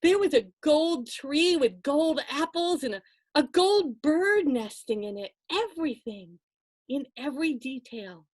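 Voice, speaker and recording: A woman storyteller telling a folktale aloud to listeners, expressive and conversational, with dramatic pauses and asides. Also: high-pitched, no echo.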